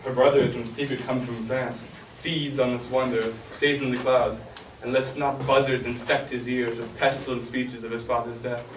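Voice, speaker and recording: A man's voice: mid-range voice, level tone, normal speed, slight background noise, lightly acted. A man acting a scheming king, speaking declamatory stage verse, recorded from far off in an echoey hall. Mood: grave. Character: scheming king, smooth and commanding.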